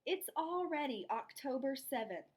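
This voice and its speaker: A determined young soccer girl from a storybook, read by a woman. She sounds earnest and eager, speaking slowly in a fairly high voice. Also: big pitch swings.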